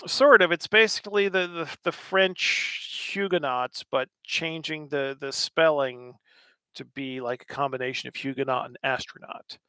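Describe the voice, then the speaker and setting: A man's voice: a little high, measured pace, sharp tone, clear, resonant tone, no room sound. An American man in his thirties hosting a casual livestream, answering chat questions in a rambling, wry way with lots of fillers. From United States.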